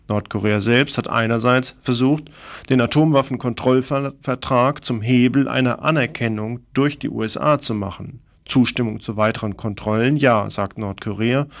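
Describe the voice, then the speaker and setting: A man with a gravelly, creaky voice at a middling pitch delivers a scripted news commentary. His phrasing is pointed and opinionated, like a radio commentator setting out a political argument.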